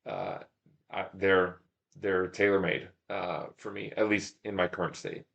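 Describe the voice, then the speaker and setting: A man's voice: sits low, measured pace, gravelly, fairly bright tone, muffled recording. A man talking casually as a podcast guest, telling running stories in a rambling, self-deprecating way, thinking aloud. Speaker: podcast guest, ultramarathon runner and shop owner. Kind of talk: casual interview talk, telling stories about racing.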